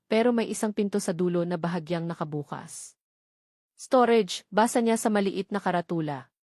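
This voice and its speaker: A woman's voice: mid-range voice, a little mellow, no room sound, studio quality. Filipino woman narrating a dramatic romance audiobook.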